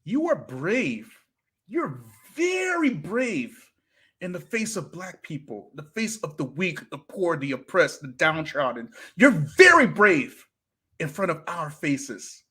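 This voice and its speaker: A man hosting a reaction livestream, preachy and animated, swinging between loud and hushed delivery. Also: a little high, takes it slowly, husky. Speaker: livestream host.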